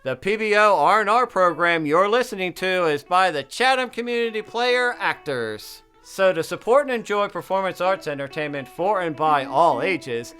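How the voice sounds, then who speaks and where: A man's voice: high-pitched, expressive, moderate pace, hardly any pauses, sharp tone. An American man reading a scripted promotional announcement as an announcer. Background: guitar music.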